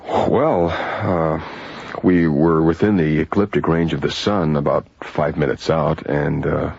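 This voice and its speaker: A man playing a plain-spoken, steady space captain in a radio drama, laconic and husky. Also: rumbling low, slow, dry recording, heavily acted. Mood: shaken, recounting. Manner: laconic, down-to-earth. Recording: dull radio recording, faint music.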